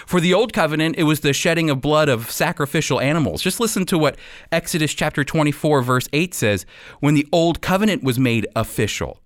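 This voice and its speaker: A man's voice: fairly high pitch, no room sound, studio quality. A man giving sermon-like Bible teaching as a radio host, in an earnest, emphatic manner.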